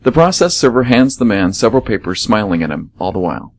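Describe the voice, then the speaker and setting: A man's voice: fairly low pitch, very animated, fast. A man hosting and narrating a radio theater show in scripted announcements and narration.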